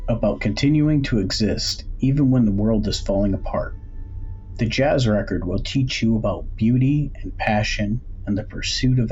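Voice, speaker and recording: A man voicing an enigmatic, knowing curiosity shop owner who speaks in a measured, cryptic way. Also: lowish voice, darkish tone, lo-fi sound, slight background noise, close to an everyday voice. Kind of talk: audiobook character dialogue.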